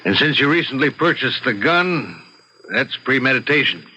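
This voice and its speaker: A seasoned cop from a vintage radio crime play. His voice is very bright and forceful, blunt and official in manner. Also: mid-range voice, big pitch swings, moderate pace, slightly rough, dull audio, heavily acted. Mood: firm, blunt. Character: seasoned male police detective, firm, matter-of-fact. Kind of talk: old-time radio drama acting.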